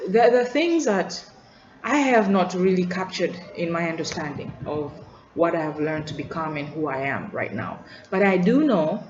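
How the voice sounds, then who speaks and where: A young woman from Tanzania with a Tanzanian English accent, thinking aloud in an unscripted vlog, hesitant and full of restarts and trailing asides. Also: on the low side. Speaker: student vlogger. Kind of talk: reflective unscripted monologue. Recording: roomy, dull lo-fi sound with faint hiss.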